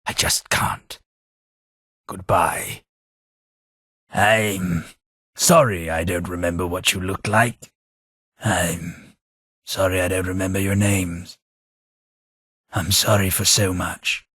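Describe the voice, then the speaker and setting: A man voicing a genteel, radiation-scarred London gentleman in a video game, formal and dryly witty with old-fashioned diction. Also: low-pitched, steady tone, slow, pauses often, breathy edge, dry recording, clean studio sound, exaggerated voice. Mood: sorrowful. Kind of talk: video game character dialogue.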